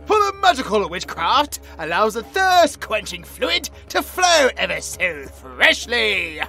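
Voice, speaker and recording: Pompous British tiger-boy, bright forceful tone, slow grand delivery, theatrical tsundere cat for audio roleplay. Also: sits high, wildly swooping pitch, creaky, exaggerated voice, rough recording. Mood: grandly boastful. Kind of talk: comedic audio roleplay acting.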